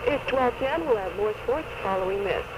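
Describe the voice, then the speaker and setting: A man's voice: high voice, animated, moderate pace. A man reading scripted sports news, captured on a 1970s AM radio recording. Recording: very noisy 1970s AM radio taping.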